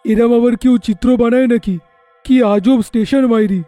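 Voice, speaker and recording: A brisk, impatient family man, as a man plays him, talks in a very high but mellow voice. The recording is close and dry, made for a cartoon tale. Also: dry recording, faint music behind. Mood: puzzled. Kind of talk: cartoon story voice acting.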